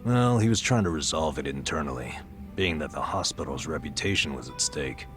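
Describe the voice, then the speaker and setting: Burned-out middle-aged ex-nurse, extremely low voice, American accent, wry and self-deprecating, game dialogue over prominent music. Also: male voice, lively intonation, fairly quick. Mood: wry, regretful. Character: burned-out, self-deprecating former male nurse confiding a past. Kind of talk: video game dub, confessional storytelling. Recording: music behind the voice.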